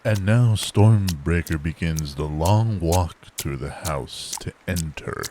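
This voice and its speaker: A man playing an ominous, grave narrator, telling a horror-comedy audio drama in a deliberate storytelling manner. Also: low-pitched, darkish tone, slight background noise. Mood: foreboding.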